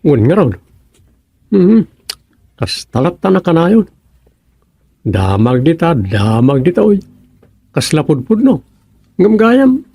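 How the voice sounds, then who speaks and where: Man playing a husky, gravelly Ilocano village talker. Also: on the high side, sing-song, slow, long pauses, warm tone, no echo, heavily acted. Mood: gossipy. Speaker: radio drama actor. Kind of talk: comedic radio serial dialogue.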